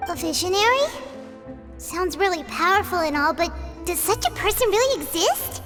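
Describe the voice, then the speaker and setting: A woman voicing a tiny, chatty, excitable childlike fairy companion in a video game, exclaiming and referring to herself in the third person. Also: very high voice, moderate pace. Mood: curious. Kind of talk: animated game dialogue acting. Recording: background music over studio game voice.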